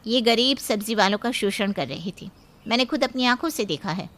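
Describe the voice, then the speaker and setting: Woman acting stern, high-voiced young policewoman. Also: lively intonation, normal speed, fairly bright tone. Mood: righteous. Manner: firm, commanding, lecturing. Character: stern, principled young policewoman daughter-in-law. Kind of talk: cartoon moral story acting.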